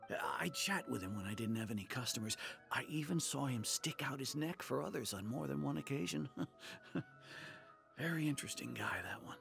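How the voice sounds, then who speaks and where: Casting: soft-hearted game shopkeeper, mid-pitched and gravelly, weary, sincere, a little hesitant, music underneath. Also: male voice, expressive, normal speed. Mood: fond, concerned. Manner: hesitant, apologetic, earnest. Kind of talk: video game voice acting.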